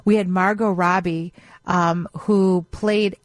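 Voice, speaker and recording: A woman's voice: lowish voice, fairly even intonation, slow, no echo. American woman co-host, dry studio sound. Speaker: radio co-host. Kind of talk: casual radio chat. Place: radio studio.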